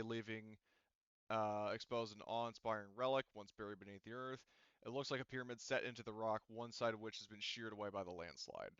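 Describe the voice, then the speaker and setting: A man with a fairly low, clear and smooth voice narrates a tabletop roleplaying game as game master. His delivery is casual and halting as he improvises. The sound is dry and slightly dull. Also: a bit bright, no room sound. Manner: hesitant, casual, relaying character speech indirectly.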